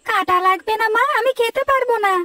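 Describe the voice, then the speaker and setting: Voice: very high voice, fast talker, pushed and bright. A performer voicing a whiny, jealous little village boy in a cartoon. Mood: complaining. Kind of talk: Bengali cartoon dubbing.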